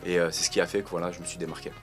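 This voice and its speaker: Laid-back guy, low voice. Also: rapid-fire, faint music behind. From Marseille, France. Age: 20s. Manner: relaxed, slangy, hesitant with many fillers. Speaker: reality TV contestant interviewed. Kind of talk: casual interview answers.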